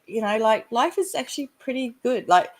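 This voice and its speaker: A woman with a stuffy voice from a cold, sharing casually in an online recovery meeting, halting and restarting often. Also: on the high side, lively intonation. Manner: halting, many restarts, laughs between sentences. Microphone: video call.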